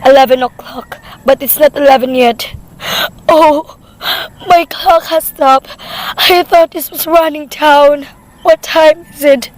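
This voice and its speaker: A woman's voice: high voice, steady tone, takes it slowly, low-quality recording. A woman playing a nervous, demanding invalid wife alone at home in a radio play, with an imperious, fretful manner. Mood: startled, uneasy.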